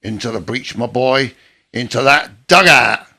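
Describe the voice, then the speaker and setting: An actor playing a chirpy, gruff working-class father and war veteran in a radio play, with blunt, teasing, colloquial talk. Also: mid-range voice, unhurried, pushed and bright, a little hiss or hum, exaggerated voice. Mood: brisk.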